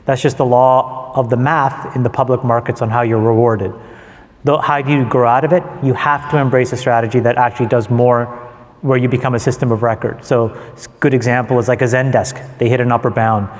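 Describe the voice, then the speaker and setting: A man's voice: medium pitch, steady tone, fairly quick. A man co-hosting a live panel, explaining points by posing questions and answering them himself. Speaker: podcast co-host on panel. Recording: reverberant conference hall.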